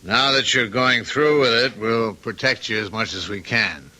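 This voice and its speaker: A steady police sergeant in a radio drama. He is measured and matter-of-fact, with a mid-pitched, very forceful, bright voice. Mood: earnest, matter-of-fact. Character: steady, plain-spoken male police sergeant. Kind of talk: old-time radio drama acting.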